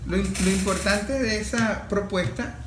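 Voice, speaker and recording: Venezuelan male host, slightly high voice. Deliberate, emphatic commentary with clear room echo. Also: fairly even intonation. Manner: deliberate, repeats phrases for emphasis. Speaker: interview host. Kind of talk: measured political commentary and interviewing. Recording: echoey room, faint background noise.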